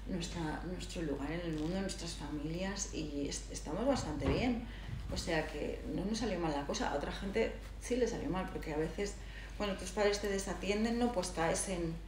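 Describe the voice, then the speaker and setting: Middle-aged woman from Galicia, lowish voice, reflective interview guest who pauses to find words; roomy sound with some noise. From Galicia, Spain. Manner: thoughtful, hesitant, searching for words. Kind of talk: reflective personal conversation.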